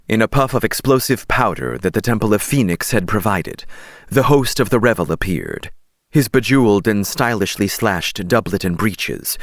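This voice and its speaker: Male storyteller, low register, dry sound, dramatic scripted audiobook narration. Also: no room sound.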